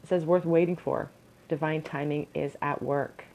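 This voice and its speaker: A woman's voice: sits low, steady tone, moderate pace, darkish tone. A woman with a crackly voice giving an earnest pick-a-card tarot reading at home, advising with frequent ums and restarts. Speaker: tarot reader.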